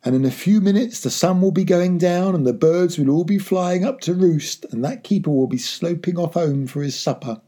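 A reader acts out a storybook poacher father who lives for the thrill. He is excitable and fond, pitched up from the narration, with a husky, breathy edge. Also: drawn-out words, heavily acted. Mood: breathless triumph.

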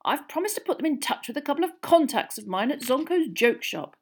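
A woman's voice: high-pitched, very animated, brisk, voice pushed up. A woman voicing a jovial, boisterous grown wizard man with a breezy, effusive manner for an audiobook.

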